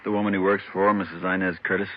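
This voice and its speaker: An actor playing a brisk, businesslike police detective with a casual, terse manner in a 1950s radio drama. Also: male voice, deep voice, flat delivery, close to an everyday voice. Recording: muffled, faintly noisy old radio recording.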